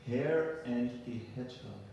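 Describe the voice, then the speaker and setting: A man telling folk tales live to a group, halting and often repeating words and phrases. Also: mid-range voice, mellow, lo-fi sound. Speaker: storyteller. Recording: echoey room, far from mic, faint noise.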